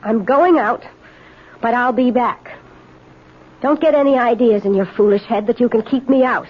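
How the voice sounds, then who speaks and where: A woman's voice: a little high, moderate pace, breathy edge. A woman playing a brazen young drifter girl in a 1970s radio drama, taunting, coaxing and commanding. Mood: bossy, defiant. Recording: dull, muffled old radio broadcast.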